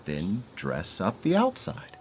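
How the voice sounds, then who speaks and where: Actress as blunt, lively-pitched female realtor. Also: slow pace, a little hiss or hum, exaggerated voice. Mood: matter-of-fact. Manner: matter-of-fact, curt. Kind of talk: romantic comedy radio play acting.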